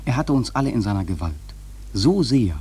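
A man playing a grave sailor who narrates a whaling voyage in a German radio drama, solemn, measured and husky. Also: medium pitch, sing-song, mellow.

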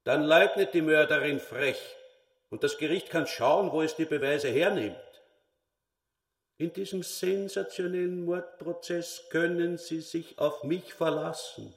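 A man's voice: on the high side, a little slow, creaky, recorded from a distance. An actor playing a brutal, self-important retired policeman in a radio play, declaiming pompously. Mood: boastful, insistent. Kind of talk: German radio play acting. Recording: echoey hall, away from mic.

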